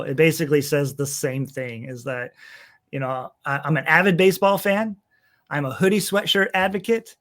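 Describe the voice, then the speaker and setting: Chatty pastor with a light voice. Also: male voice, a little high, a bit bright. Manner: chatty, rambling, laughs easily, many fillers. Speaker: pastor and podcast guest. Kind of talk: casual interview conversation.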